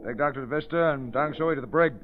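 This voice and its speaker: Man as blunt, authoritative sea captain, slightly high-pitched, muffled vintage radio sound. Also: quick pace, lightly acted. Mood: stern. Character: gruff, authoritative male steamship captain. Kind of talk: 1930s radio acting. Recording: muffled, faintly noisy 1930s radio recording.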